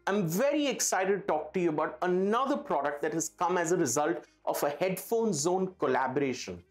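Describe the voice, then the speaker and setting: A man with an Indian accent presents a product to camera in an enthusiastic, emphatic way. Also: fairly high pitch. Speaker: presenter for an audio retailer. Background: background music.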